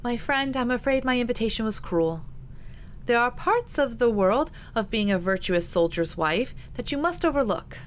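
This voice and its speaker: A woman voicing a gentle, apologetic young colonel's wife with a genteel, confiding manner. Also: on the high side, animated, moderate pace, voice pushed up, close to an everyday voice. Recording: noticeable background noise.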